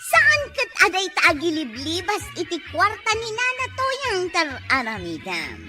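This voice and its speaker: A woman's voice: extremely high pitch, huge pitch swings, unhurried, heavily acted. A woman with an Ilocano accent playing a village woman who nags and scolds about money in a comedy drama. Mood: scolding. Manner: scolding, exclamatory. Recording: loud music bed behind the voice.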